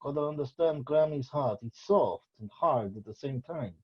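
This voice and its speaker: Flippant young boyfriend played by a man, lively pitch. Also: normal speed, slightly rough. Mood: teasing. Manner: flippant, needling. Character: scruffy, teasing, reckless 28-year-old boyfriend. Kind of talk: amateur script table read. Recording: dull lo-fi recording.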